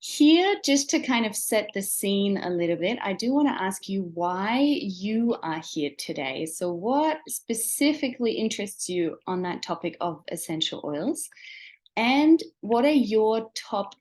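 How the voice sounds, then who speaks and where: A woman's voice: medium pitch, big pitch swings, a little slow, a little mellow. A woman from Germany, a native German speaker with a slight Australian accent, presenting a webinar in an encouraging, explanatory way and addressing the listener directly. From Germany, born in Austria. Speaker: webinar presenter, wellness seller.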